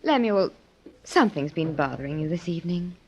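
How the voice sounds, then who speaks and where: An actress playing an earnest, grieving young wife in old-time radio drama style, speaking haltingly with emotion. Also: female voice, on the low side, animated, a little mellow, a little hiss or hum. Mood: concerned. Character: grieving young wife of a wealthy older man. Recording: dull, lo-fi old radio recording.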